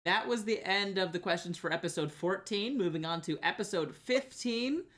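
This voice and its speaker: A man's voice: high-pitched, normal speed, a bit bright. A man co-hosting a podcast, chatting casually and reading out listener questions. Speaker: podcast co-host.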